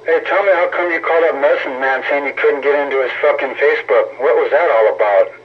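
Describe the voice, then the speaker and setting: A man's voice: fairly high pitch, quick pace. Tinny CB radio voice, sarcastic American man. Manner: sarcastic, taunting, profane. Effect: CB radio transmission. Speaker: CB radio operator. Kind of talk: argumentative radio banter. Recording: tinny CB radio signal, faint static.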